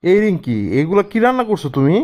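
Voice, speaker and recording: A man's voice: high-pitched, big pitch swings, quick pace, darkish tone. A man voicing an exasperated young husband in a cartoon family comedy, scolding and questioning in a Bangladeshi Bengali accent. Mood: scolding, fed up.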